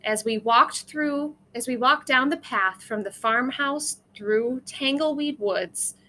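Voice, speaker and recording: A woman's voice: high-pitched, slow pace, sharp tone, slight background noise. A woman reading a children's storybook aloud, expressively acting out the dialogue and sound words.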